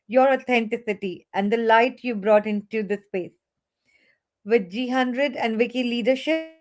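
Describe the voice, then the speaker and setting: A woman hosting an online webinar, giving earnest closing thanks to the guests. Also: on the high side. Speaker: host. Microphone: webinar video call.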